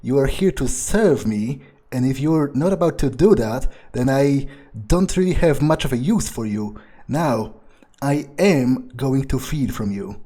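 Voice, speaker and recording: A man voicing an arrogant, cruel, brooding vampire in a video game roleplay, speaking haltingly with haughty menace. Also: mid-range voice, lively intonation, dark tone. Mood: menacing, angry. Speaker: role-playing game streamer. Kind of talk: in-character game playthrough monologue.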